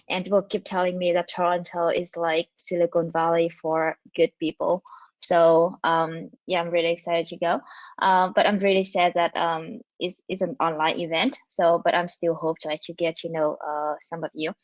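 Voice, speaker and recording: A young woman from Vietnam with a Vietnamese accent, giving an online technical talk, talking quickly with many fillers and restarts. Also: fairly low pitch, dry recording, highs cut off. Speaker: conference speaker.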